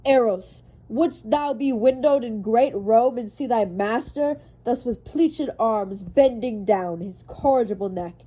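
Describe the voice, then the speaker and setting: A woman performing a defeated, anguished Roman general who pleads to die, in a solemn, deliberate Shakespearean recitation. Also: on the high side, dark tone, pitched above the performer's own voice. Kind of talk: dramatic recitation of a Shakespeare monologue. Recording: dull lo-fi sound.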